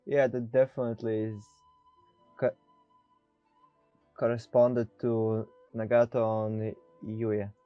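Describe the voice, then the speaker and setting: A man's voice: mid-range voice, little pitch movement, slow, pauses often, clear, resonant tone, no room sound, highs cut off. A man giving casual, off-the-cuff commentary as he plays through a video game. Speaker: gaming video creator. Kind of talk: casual let's play commentary. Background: game music.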